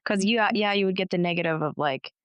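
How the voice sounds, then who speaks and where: A young woman co-hosting a casual chat, reflective and agreeable, slowing down when she explains her point. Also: medium pitch, clear, resonant tone, no room sound, muffled recording.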